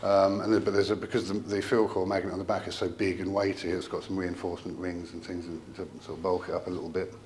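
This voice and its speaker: A man with a fairly low voice talks through technical equipment informally, as a company representative would. His delivery is halting, often pausing and trailing into asides. There is noticeable noise in the room behind him. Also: level tone, a little mellow. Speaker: audio company representative presenting a product. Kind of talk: informal technical product explanation.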